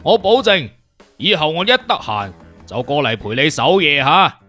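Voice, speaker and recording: A man's voice: on the high side, sing-song, moderate pace, sharp tone, faint music behind, pitched below the performer's own voice, full character voice. A man voicing a cocky, teasing detective consultant in an audiobook, speaking slangy with a swaggering, mocking manner. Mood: jovial.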